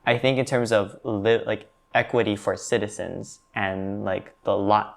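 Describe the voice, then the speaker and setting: A man's voice: lowish voice, level tone, unhurried, creaky. A young man, a student, answering discussion questions in a thoughtful, hedging way. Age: young adult. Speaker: student panelist. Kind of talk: class discussion.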